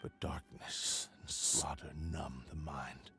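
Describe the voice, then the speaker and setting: A man voicing the remorseful spirit of a sentient cursed sword in a video game, speaking in a grave, measured, sorrowful way that turns whispery at times. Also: rumbling low, flat delivery, bright, forceful tone, exaggerated voice. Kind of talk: game voice acting. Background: game music.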